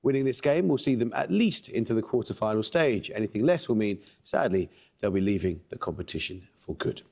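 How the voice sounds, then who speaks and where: A man hosting a quiz show, reading questions and introductions with a measured, precise delivery. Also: lowish voice, lively intonation, gravelly, darkish tone.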